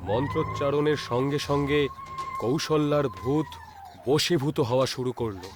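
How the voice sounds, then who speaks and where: A man's voice: fairly high pitch, moderate pace, noisy background. A man narrating a scripted ghost story as a storyteller.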